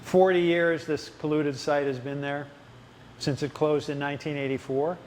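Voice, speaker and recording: A man with a somewhat high voice explains things at a town hall, pausing often for ums and restarting his sentences. His voice carries a long hall echo under a faint hiss. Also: steady tone, darkish tone. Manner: explanatory, halting with many fillers and restarts. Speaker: city official at a ward town hall. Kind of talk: public town hall answers. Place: town hall meeting room.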